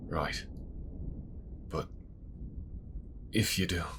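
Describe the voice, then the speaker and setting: A man playing a subdued, guilt-ridden bishop in a fantasy audio drama, breathy and terse. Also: sits low, drawn-out words, whispered. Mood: resigned.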